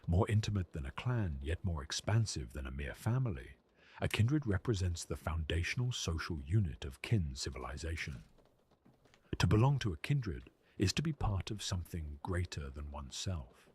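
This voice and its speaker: A man reading scripted lore narration in a solemn, measured way with a husky voice. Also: deep voice, sing-song, a little mellow.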